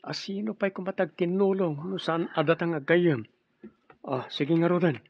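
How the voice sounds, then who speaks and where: A man's voice: on the high side, fairly even intonation, normal speed, muffled recording. An actor playing a friendly, caring young man, an office buddy, in an Ilocano radio drama. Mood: friendly, concerned.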